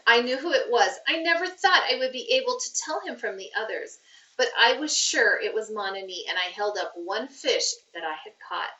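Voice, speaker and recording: Female read-aloud narrator for children's fiction, expressive and crisp-edged bright tone, recorded a little distant and dull. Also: fairly high pitch, normal speed. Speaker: teacher reading aloud. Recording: roomy, muffled, distant microphone.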